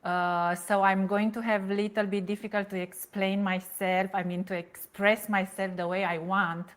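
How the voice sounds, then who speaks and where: A woman with a Romanian accent speaks in a smooth, resonant voice that sits slightly low. She talks slowly and carefully in English, filling gaps with "uh" and "um" as she reaches for each word. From Transylvania, Romania. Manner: searching for words, many pauses and fillers. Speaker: guest, relationship coach. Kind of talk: podcast interview.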